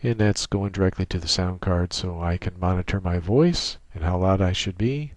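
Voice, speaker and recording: A man giving an unscripted software tutorial in a methodical, technical way with halting phrasing, close on a USB microphone. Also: low voice, no room sound. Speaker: tutorial presenter.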